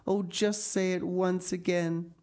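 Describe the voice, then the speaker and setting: A woman's voice: lowish voice, little pitch movement, slow pace, a little mellow, pitched above the performer's own voice. A woman voicing a dramatic, romantic young orphan girl in a storybook, earnest and tearful, with flowery old-fashioned phrasing. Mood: solemn, romantic. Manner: flowery, archaic, theatrical phrasing. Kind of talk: audiobook character reading.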